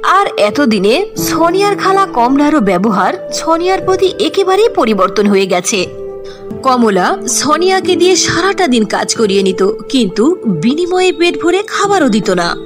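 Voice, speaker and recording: A woman's voice: sits high, sing-song, moderate pace. A woman narrating a scripted children's fairy tale as storyteller. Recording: clear music under the voice.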